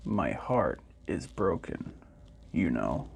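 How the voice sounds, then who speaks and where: A man voicing a gentle, sad old robot in a storybook, speaking slowly and softly. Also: low-pitched, very animated, gravelly, a little hiss or hum, voice pushed down, heavily acted. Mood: downcast. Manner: slow, gentle, downcast.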